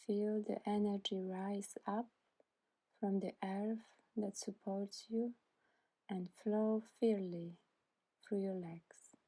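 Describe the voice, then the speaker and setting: A woman guiding a scripted meditation in a soft, breathy, soothing voice, deliberately leaving long pauses between short phrases. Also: mid-range voice, fairly even intonation, poor audio. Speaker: meditation guide.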